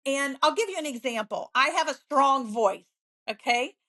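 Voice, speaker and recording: A woman real estate agent as an interview guest, bubbly and upbeat, telling stories with lively enthusiasm. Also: high-pitched. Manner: bubbly, upbeat, quick to laugh. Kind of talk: conversational interview.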